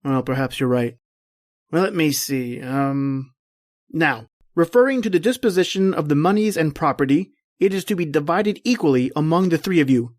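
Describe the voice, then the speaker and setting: Radio drama executor male actor: stiffly formal, deliberate, dutiful gentleman, very little room sound. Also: fairly high pitch, dry recording, studio quality, close to an everyday voice. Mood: formal, measured. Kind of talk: old-time radio drama acting.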